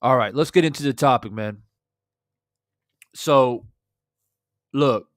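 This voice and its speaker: A man in his thirties with a hoarse voice, hosting a casual boxing livestream from a small room at home, shouting out viewers in the chat. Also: medium pitch, takes it slowly, no room sound, clean studio sound. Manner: laid-back, shouting out chat viewers, swears freely. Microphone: studio desk mic with headphones.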